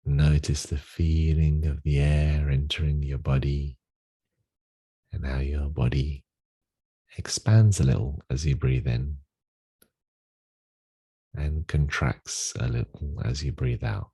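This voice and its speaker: A man with a soft, husky voice guides a meditation, giving gentle instructions with long pauses. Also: rumbling low, fairly even intonation.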